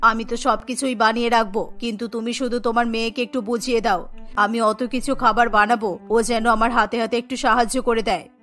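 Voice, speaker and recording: A woman's voice: a little high, fairly even intonation, fairly quick, a bit bright, faint music behind, close to an everyday voice. A woman voicing an exasperated middle-aged village mother, scolding and insistent, in a cartoon. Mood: nagging. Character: exasperated, nagging village mother of grown daughter. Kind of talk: Bengali cartoon voice acting.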